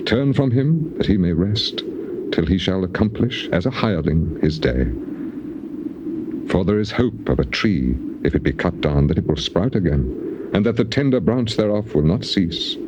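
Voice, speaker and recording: An actor playing a suffering, defiant righteous man in a dramatized scripture recording, declaiming with passion and weighty pauses. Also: deep voice, lively intonation. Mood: mournful, resigned. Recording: dull, noisy old cassette tape recording.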